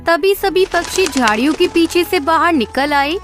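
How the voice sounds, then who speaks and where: A female narrator reads a scripted children's story. Her voice is very high, and music plays clearly behind her. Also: lively intonation, normal speed.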